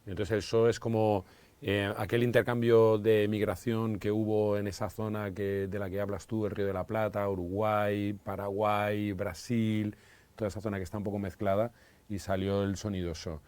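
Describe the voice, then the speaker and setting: A man hosting a casual podcast as a Spanish teacher, asking lively, curious questions. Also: on the low side, moderate pace, clean tone, no room sound.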